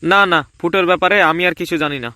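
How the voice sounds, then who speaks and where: Fast, high-pitched schoolmaster played by a man. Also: fairly even intonation, bright, forceful tone. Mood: chiding, explaining. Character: chiding village schoolmaster, adult man. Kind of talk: comedy cartoon dubbing.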